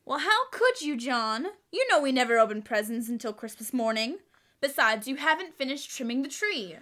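An actress playing a nagging, sweetly stubborn wife in an old-time radio comedy, chiding with mock patience. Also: female voice, sits high, big pitch swings, normal speed, sharp tone, heavily acted. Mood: scolding.

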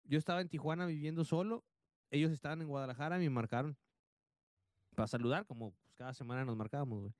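A man's voice: a little high, quick pace, darkish tone, no echo, clean studio sound. A man in his thirties with a Mexican accent, chatting casually as a podcast co-host, swearing and laughing as he tells stories. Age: 30s. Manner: slangy, swears a lot, laughs often. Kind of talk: casual chat, storytelling.

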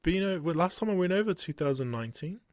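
A man's voice: sits high, animated, fast talker, a little mellow, no echo, studio quality. A man with a New Zealand accent hosting a podcast, laid-back and casual, laughing often and calling his guest "bro.". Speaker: podcast host. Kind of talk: casual chat.

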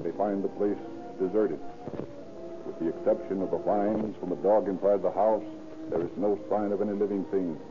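A man narrating a scripted 1930s radio crime drama in a formal, dramatic manner. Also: sits low. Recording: tinny old radio recording. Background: music.

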